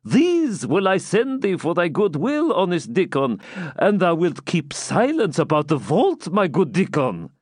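Man acting out a high-pitched, lamenting old moneylender. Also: wildly swooping pitch, unhurried, dry recording, clean studio sound, full character voice. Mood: anxious, wheedling. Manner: lamenting, pleading, archaic speech. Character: anxious, wailing, fawning old moneylender, male.

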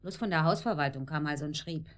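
Woman acting insistent hospitable neighbour, lowish voice, bustling, colloquial, faint noise, radio drama. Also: fast talker, natural voice. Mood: insistent, chatty. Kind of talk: naturalistic German radio drama.

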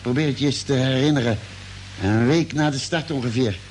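A man playing a calm, caring spaceship doctor with a measured, deliberate way of explaining, in a vintage Dutch radio drama. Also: mid-range voice, lightly acted. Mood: thoughtful, explaining. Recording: noisy old radio drama recording.

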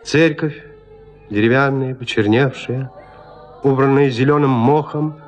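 A male narrator reads a literary tale with theatrical expression. His voice sits at a middle pitch and moves at a slow, deliberate pace, with faint music underneath.

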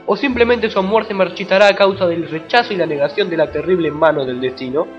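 A man narrates a mock-romantic serial in a florid, melodramatic style. His voice sits fairly high, with music behind it. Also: quick pace, muffled recording.

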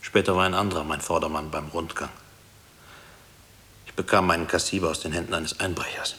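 An actor playing a grave former prisoner haunted by wartime memories, speaking in a measured, reflective way in a radio play. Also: male voice, sits low, fairly even intonation, pushed and bright. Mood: sombre reflection. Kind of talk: German radio play acting. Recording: hissy old radio drama tape.

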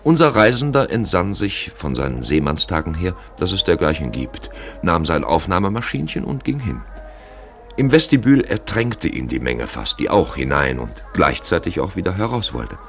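A man narrating a literary radio feature in an emphatic, wry storytelling manner, with a gravelly voice. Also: deep voice, big pitch swings, moderate pace. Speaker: narrator and presenter. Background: music.

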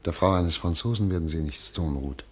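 An actor playing a German émigré doctor who calmly foresees war and internment in a radio drama. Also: male voice, deep voice, fairly quick, close to an everyday voice. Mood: grave. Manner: subdued, fatalistic, earnest. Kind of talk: radio play acting.

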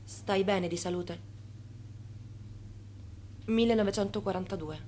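An actress in a radio drama playing a swindler's pious, pleading, plain-spoken wife. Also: female voice, medium pitch, a little slow, darkish tone, slight background noise, close to an everyday voice. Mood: quiet, flat questioning. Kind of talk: theatrical radio drama acting.

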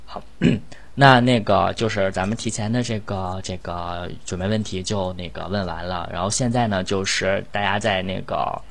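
A man's voice: sits low, normal speed, gravelly, slight background noise. A man hosting a casual online group chat, talking in a rambling, self-effacing way. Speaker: host and amateur voice actor.

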